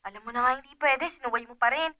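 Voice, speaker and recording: A woman's voice: a little high, fast talker, loud music behind the voice, natural voice. A woman playing a sensible, practical young friend who chides in a matter-of-fact way, in a radio drama. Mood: chiding.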